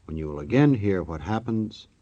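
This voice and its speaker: A man's voice: low voice, expressive, normal speed, dark tone. A man with an American accent announcing an old-time radio show in a jocular, folksy way, on a muffled recording. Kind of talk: 1940s-50s radio announcing.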